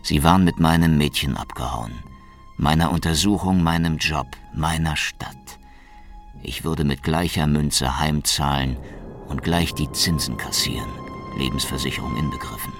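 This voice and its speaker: An actor playing a hard-boiled, bitter secret policeman who narrates his story in a brooding, deadpan radio-drama style. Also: moderate pace. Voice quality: deep, gravelly. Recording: music behind the voice. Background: music.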